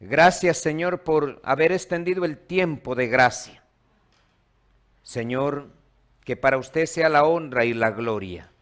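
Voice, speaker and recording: A man's voice: a little high, slow, fairly bright tone. A man from Ecuador, a pastor preaching a sermon in a church and quizzing the congregation in call-and-response. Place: church hall.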